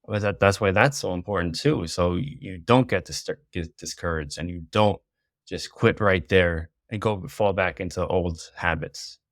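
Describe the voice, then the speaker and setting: A man's voice: low voice, moderate pace, fairly bright tone, no echo, studio quality. A man who works as a teacher, chatting as a podcast guest, hesitant and often repeating words and saying "you know". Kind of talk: casual podcast conversation.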